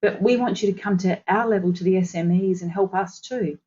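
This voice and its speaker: A woman's voice: medium pitch, a little fast, warm tone, lo-fi sound. An Australian woman, a consultant guest on a podcast, telling her career story fluently and with enthusiasm. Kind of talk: podcast interview.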